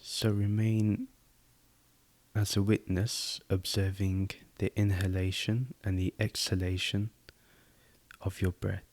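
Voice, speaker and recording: A man leading a guided meditation as host, giving calm, unhurried instructions with pauses. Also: lowish voice.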